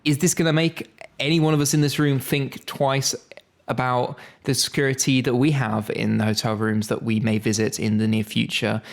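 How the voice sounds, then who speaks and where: A man's voice: medium pitch, little pitch movement, normal speed, gravelly. A man presenting a tech podcast as host, chatty and upbeat in a semi-scripted style.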